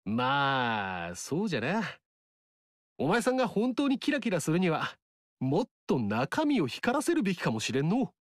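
Teasing rustic warlord, lively swinging voice, dry close sound. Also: male voice, fairly high pitch, normal speed, no room sound. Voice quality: rustic dialect speech, teasing. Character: folksy upstart warlord, cheeky older man. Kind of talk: video game voice lines. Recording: dry studio recording.

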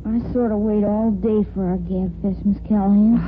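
A woman playing a brave, wistful twelve-year-old orphan boy in a 1950s radio soap, earnest and halting. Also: medium pitch, fairly even intonation, a little hiss or hum, lightly acted. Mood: shyly eager. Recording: muffled old radio broadcast, faint music.

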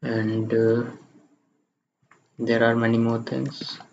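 A man narrating a step-by-step software screencast in a halting, plain-spoken way. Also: medium pitch, flat delivery, gravelly. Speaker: tutorial presenter. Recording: muffled lo-fi microphone, faint background noise.

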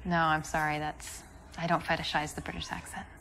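A woman in her twenties playing a calm, earnest young woman who studies Russian, in a dating game in a café set. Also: low-pitched, fairly quick, creaky, a little hiss or hum, rough recording. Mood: cool. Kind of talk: scripted dating-game acting.

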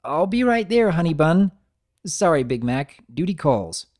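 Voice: mid-range voice, sing-song, a little slow, darkish tone, voice pushed up. A narrator voicing a friendly, mild-mannered married stallion, a cartoon baker, chatty and earnest. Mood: amiable.

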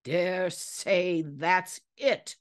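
A woman's voice: fairly low pitch, drawn-out words. A blustering hotel keeper , voiced by a woman reader, proud and exclamatory. He talks in colorful slang, as a larger-than-life man in a storybook. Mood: exclaiming.

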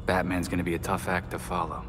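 A man's voice: sits low, level tone, quick pace, noisy background, natural voice. An actor playing an earnest young male vigilante hero, self-doubting but dogged, in a video game cutscene. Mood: subdued, self-doubting.

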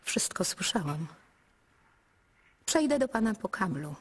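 An actress voicing a lyrical, coy female answering machine in a radio play, speaking in a dreamy, breathy half-whisper. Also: medium pitch, big pitch swings, measured pace. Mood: flirtatious. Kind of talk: radio drama acting.

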